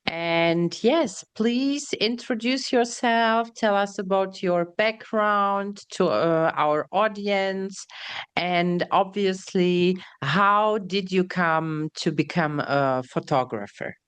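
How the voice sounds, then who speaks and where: A woman's voice: mid-range voice, slow pace, clear, resonant tone. A woman hosting a podcast interview, welcoming her guest and asking about his background in a complimentary way. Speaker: podcast host.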